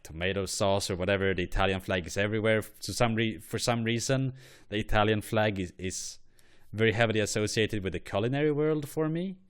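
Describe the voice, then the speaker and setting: A man hosting an online show, giving blunt, profane and rambling opinions. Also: fairly low pitch. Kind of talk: ranting commentary on flags.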